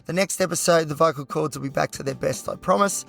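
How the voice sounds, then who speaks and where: An Australian man hosting a solo podcast with a hoarse, croaky voice, talking passionately and rambling with lots of fillers. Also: a little high, quick pace, fairly bright tone, no room sound, soft background music. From Newcastle, New South Wales, Australia. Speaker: podcast host.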